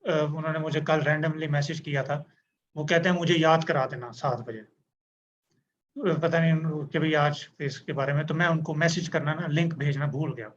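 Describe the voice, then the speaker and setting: A man's voice: on the high side, fairly even intonation, normal speed. A man hosting a casual online interview, chatty and agreeable, with joking asides. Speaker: host. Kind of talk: casual Urdu-English interview. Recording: dull lo-fi sound with faint noise.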